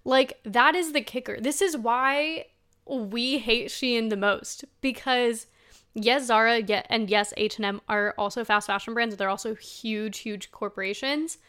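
A woman's voice: on the high side, a little slow, a bit bright, smooth. A woman in her twenties from Spain giving casual, conversational commentary as a host, chatty and informal, leaning on key words for emphasis. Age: 20s. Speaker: host, sustainable fashion commentator.

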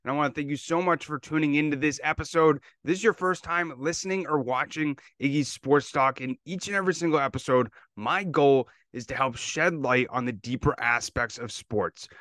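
Young male sports podcast host, smooth clear voice, chatty and rambling. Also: fairly high pitch, moderate pace, few pauses, fairly bright tone, no echo. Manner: rambling questions with many fillers and restarts. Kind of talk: casual interview chat.

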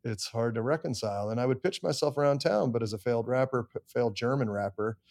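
A man's voice: on the low side, darkish tone. A middle-aged man with an American accent, telling his story candidly and self-deprecatingly as an interview guest. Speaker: interview guest, songwriter and producer.

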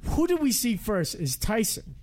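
A man's voice: high voice, huge pitch swings, normal speed, a little mellow. A man interviewing casually, speaking emphatically and repeating his phrases. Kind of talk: casual chat.

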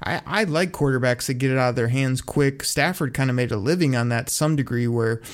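A man co-hosting a casual sports talk show, giving relaxed, opinionated takes full of fillers. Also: mid-range voice, a little fast. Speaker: podcast co-host. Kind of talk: casual sports analysis chat.

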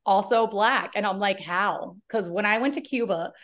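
A woman with a Cuban-American accent, a comedy podcast guest chatting casually, laughing often and talking in quick bursts. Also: mid-range voice, a bit bright. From Cuban background. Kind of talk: casual chat.

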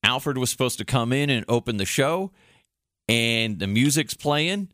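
An American man hosts a casual podcast in a mid-pitched voice. He tells stories in an animated, loose, mildly salty manner. The sound is dry, with very little room. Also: a little slow, no echo. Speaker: podcast host, former radio producer.

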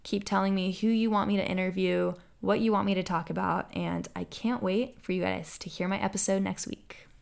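A woman's voice: mid-range voice, steady tone, a little fast, vocal fry, hardly any pauses, slight background noise. A young American woman hosting her own podcast, answering listener questions in an upbeat, earnest way. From United States. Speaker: podcast host. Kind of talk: solo podcast Q&A.

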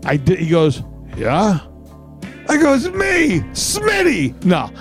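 A man co-hosting a podcast, telling stories casually and comically in a boisterous, profane, animated way. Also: sits high, slow, faint music behind. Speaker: podcast co-host.

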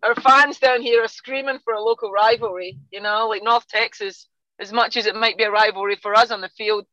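A Scottish woman talks through a casual podcast interview with a bright, forceful tone. She restarts her sentences often and fills gaps with "um". The sound is dull and a little muffled, recorded dry with no room echo. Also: on the high side, fairly even intonation, a little fast. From Scotland. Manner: chatty, frequent restarts and fillers, laughs easily. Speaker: guest soccer coach.

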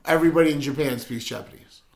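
A man co-hosting a casual podcast chat, talkative and quick to laugh, rattling off examples as he goes. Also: on the high side, vocal fry. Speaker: podcast co-host.

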